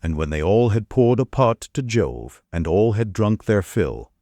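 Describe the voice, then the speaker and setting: A man's voice: lowish voice, big pitch swings, measured pace, mellow, no room sound. A man giving formal, dramatic narration of an epic audiobook. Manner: formal storytelling.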